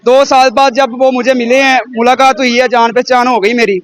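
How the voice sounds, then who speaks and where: High-voiced young man. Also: flat delivery, normal speed. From Pakistan. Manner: playful, boasting. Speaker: interviewee. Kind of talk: casual street interview. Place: outdoors on a busy street. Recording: street crowd behind.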